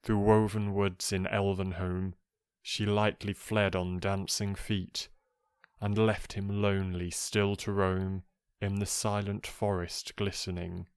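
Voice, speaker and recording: A man reading poetry for relaxation in a calm, measured, soothing manner. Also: low voice, smooth, no echo, clean studio sound. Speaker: poetry reader.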